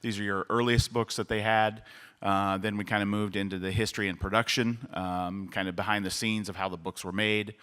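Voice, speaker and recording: A man's voice: lowish voice, little pitch movement, fairly quick, fairly bright tone, smooth. A man casually introducing a panel talk to an audience, with fillers like uh and you know. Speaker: convention panel presenter. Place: convention hall panel room. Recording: long hall reverb.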